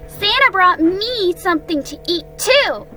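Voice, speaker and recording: Woman as a high, childlike little kangaroo. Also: lively intonation, measured pace, music in the background. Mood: candid.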